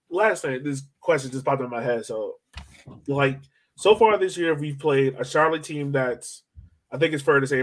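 A man with a mid-pitched voice hosts a sports podcast. He talks in a casual, slangy, conversational way, working through his thoughts as he goes. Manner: conversational, slangy, thinking aloud. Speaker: soccer podcast host.

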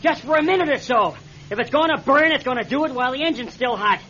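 High-voiced, terse small-plane pilot, old radio. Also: male voice, animated, fast talker. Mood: urgent, alarmed. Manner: terse, commanding, urgent. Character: tense male small-plane pilot in trouble, blunt, protective. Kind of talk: old-time radio drama acting. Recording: muffled, noisy old radio recording, engine drone.

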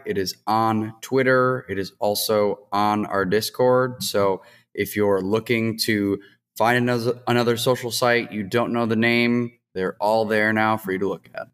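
A man co-hosting a podcast, plugging the show's socials in a quick, friendly, casual way. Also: fairly low pitch, a bit bright, clear, resonant tone, long reverb tail. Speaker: podcast co-host.

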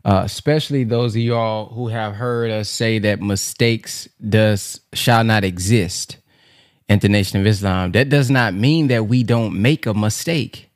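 A man's voice: lowish voice, measured pace, no echo, studio quality. A man hosting a podcast, casually following up on his guest's point. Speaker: podcast host. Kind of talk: casual discussion.

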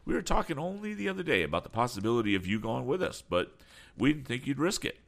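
A man's voice: mid-range voice, big pitch swings, quick pace, creaky, voice pushed up. A man voicing an earnest, upbeat young inventor in an adventure storybook, eager and plain-spoken. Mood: reassuring.

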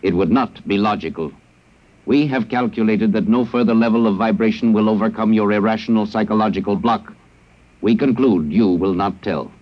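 An actor playing a coldly logical alien interrogator in a radio drama, speaking in stilted, formal, emotionless phrases. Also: male voice, fairly low pitch, normal speed, close to an everyday voice. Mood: clinical, insistent. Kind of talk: 1950s radio drama acting. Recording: muffled vintage radio recording.

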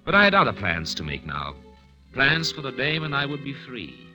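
An actor playing a calculating, sinister prisoner who feigns concern, speaking in an articulate, insinuating and hesitant way in old-time radio thriller style. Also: male voice, mid-range voice, huge pitch swings, moderate pace, music in the background. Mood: coldly narrating.

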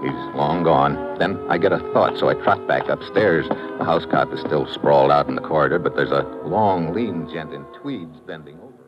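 A very deep-voiced, tough American agent in an old radio detective drama. He is wry and clipped, with a wisecracking edge. The recording is muffled, with music behind him. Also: moderate pace, few pauses. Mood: dry, matter-of-fact. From United States. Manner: clipped, wisecracking, hard-boiled. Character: wry, tough American government agent narrating his case. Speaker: lead actor and narrator.

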